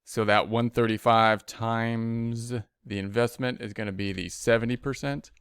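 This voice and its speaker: A man's voice: mid-range voice, fairly even intonation, unhurried, fairly bright tone, smooth, no echo, clean studio sound. A man giving an informal spreadsheet tutorial as an instructor, thinking aloud step by step and often repeating himself. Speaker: accounting instructor.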